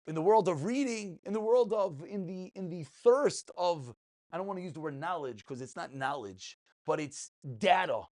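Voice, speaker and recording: A man giving a passionate, informal religious lesson as a rabbi, emphatic, full of rhetorical questions and repeated phrases. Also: high voice.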